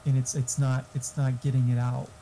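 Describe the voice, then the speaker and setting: A man's voice: mid-range voice, level tone, fairly quick, warm tone, a little hiss or hum, low-quality recording. A man chatting casually and giving tech advice in a blunt, explanatory, sweary way. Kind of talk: casual chat. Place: online voice chat.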